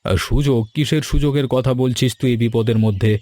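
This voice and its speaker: A man playing a curious, worried village father who runs a sweet shop in an animated story. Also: medium pitch, quick pace, no room sound, close to an everyday voice.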